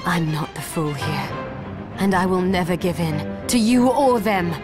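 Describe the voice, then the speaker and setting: A woman playing a resolute, composed young heroine in a video game, speaking in a measured, earnest way. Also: fairly low pitch, close to an everyday voice. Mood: defiant. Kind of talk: video game character acting. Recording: music behind the voice.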